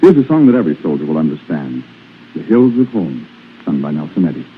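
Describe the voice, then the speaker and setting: A man's voice: very deep voice, very animated, a little fast, a little hiss or hum. An American man announcing on a 1940s radio program in a scripted presenter's style. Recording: dull 1940s radio broadcast recording.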